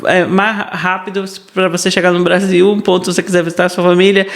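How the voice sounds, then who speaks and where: A woman's voice: fairly low pitch, level tone, quick pace. A Brazilian woman co-hosting a podcast, explaining things in casual, emphatic conversation and repeating her points. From Salvador, Brazil. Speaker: podcast co-host.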